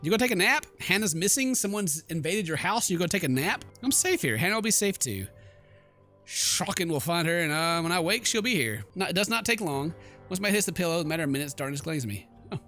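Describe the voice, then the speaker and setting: A man's voice: high voice, very animated, fast, pushed and bright, faint music behind. A man hosting a let's play, reading game text aloud in a playful mock-dramatic way with jokey asides. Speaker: gaming video host.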